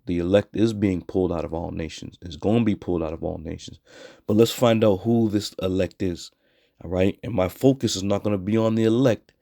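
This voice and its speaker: A hoarse man teaching scripture in a blunt, scornful way, with a frequent "all right?". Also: low voice, warm tone, no room sound, clean studio sound. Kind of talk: street preaching scripture lesson.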